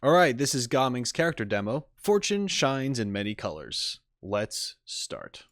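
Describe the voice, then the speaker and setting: A man's voice: mid-range voice, big pitch swings, a little slow, over music. A man hosting a reaction breakdown as an animator, talking enthusiastically and stressing words for emphasis.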